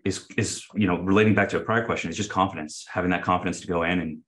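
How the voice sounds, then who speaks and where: A man's voice: sits low, steady tone, rapid-fire, a bit bright. A man in his thirties, a company chief guesting on an interview show, speaking earnestly with many fillers and restarts. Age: 30s. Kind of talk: casual interview talk.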